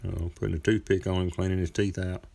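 A man's voice: deep voice, little pitch movement, fast talker, gravelly, darkish tone. A man in his thirties, a chainsaw carver, talking through his outdoor work in a laid-back, folksy, rambling way. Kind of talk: casual workshop narration. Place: outdoor driveway workspace.

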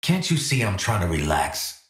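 A man playing a cocky, gruff mercenary sharpshooter in a video game, with an American accent and a blunt, streetwise, wisecracking delivery. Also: fairly low pitch, very animated, normal speed, a bit bright, no echo, studio quality, heavily acted. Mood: wry, off-duty. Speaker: voice actor.

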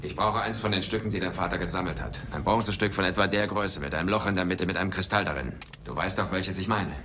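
An actor playing a rugged, self-assured adventurer archaeologist in a film dub, dry, matter-of-fact and insistent. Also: male voice, low-pitched, quick pace, close to an everyday voice. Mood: insistent, bargaining. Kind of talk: dubbed film acting. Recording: noisy old film dub soundtrack.

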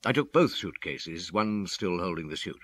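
A detective in a radio play. His voice is deep yet bright and forceful, and he reports in a clipped, factual way. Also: lively intonation, normal speed. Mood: matter-of-fact. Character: matter-of-fact police detective recounting his investigation. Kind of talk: radio drama acting.

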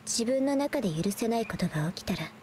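A woman playing a weary, injured and remorseful young woman painter in a Japanese anime game, breathy and subdued. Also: a little high, expressive, brisk, darkish tone, a little hiss or hum, close to an everyday voice.

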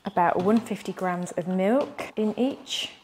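A woman's voice: mid-range voice, a little slow, darkish tone, slight background noise. A young woman in her twenties chatting casually while she cooks in a home kitchen, wearing a clip-on mic. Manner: chatty, bubbly, talks while cooking. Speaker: fitness and cooking creator. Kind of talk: casual cooking demonstration. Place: bright home kitchen indoors.

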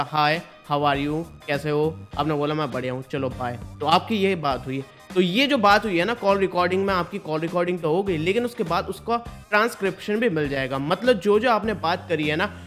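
Man in his twenties, high-pitched, casual explainer style, informal address, repeated emphasis, music behind. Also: fairly bright tone. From India. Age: 20s. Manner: conversational, repetitive emphasis, addresses viewers informally. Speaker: tech YouTuber, host. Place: small indoor studio. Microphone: desk microphone close in front.

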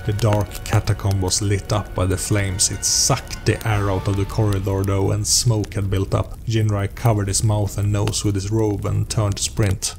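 A man narrates like an audiobook reader. His voice sits low and keeps to a narrow, even range. Music plays clearly behind him. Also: normal speed, few pauses. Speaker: narrator and host of a role-playing story series. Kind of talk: audiobook-style scripted narration.

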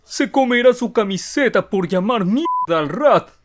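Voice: medium pitch, expressive, normal speed, very noisy, lightly acted. A performer with a Latin American accent voicing a big, dim-witted teenage bully in a cartoon dub. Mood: aggressive. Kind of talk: animated fan dub acting.

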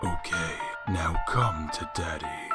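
A man voicing a weary skeleton father in a comic dub, slow, deliberate and exasperated with his baby son. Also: low voice. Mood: patient. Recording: loud music behind the voice.

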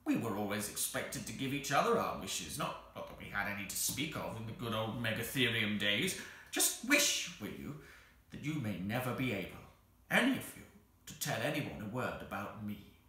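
A man's voice: lowish voice, very animated, normal speed, pushed and bright, very echoey. A man voicing a crotchety, put-upon furry sand fairy from a children's storybook, querulous, stammering and prone to tearful pleading. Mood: weary, querulous.

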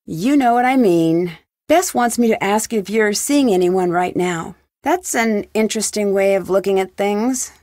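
Casting: a young female sleuth for an adventure game, inquisitive and composed, fairly high voice, dry close recording. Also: dry recording. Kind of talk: video game dialogue, questioning.

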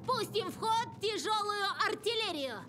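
Very high, brash cartoon pony. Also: female voice, expressive, a little slow. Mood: insistent. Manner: direct, insistent, challenging. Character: brash, pushy young female cartoon pony. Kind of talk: animated series dub acting. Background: music.

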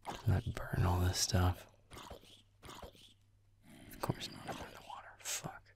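Man acting a low, whispery, grumpy werewolf boyfriend. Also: completely flat delivery, takes it slowly, heavily acted. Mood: sardonic, fed up. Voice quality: hushed, breathy, gravelly mutter. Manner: sardonic, irritable, swearing under breath. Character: grumpy sarcastic tsundere werewolf boyfriend playing games. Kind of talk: roleplay audio, muttered gaming commentary.

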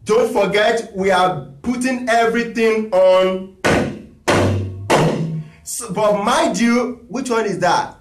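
A man leads a live talking drum lesson, chatty and encouraging as he reads out requests. His voice sits high, and it sounds distant in an echoey room, picked up by a camera mic. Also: slow, pauses often, loud music behind the voice. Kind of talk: live-streamed music lesson.

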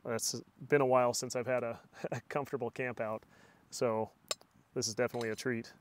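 A man's voice: mid-range voice, a little fast, a little hiss or hum. A middle-aged man chatting casually and telling stories on a camping vlog, recorded inside a small tent at night. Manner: relaxed storytelling, chatty. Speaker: outdoor vlogger. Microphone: camera on a tripod, then close phone at arm's length.

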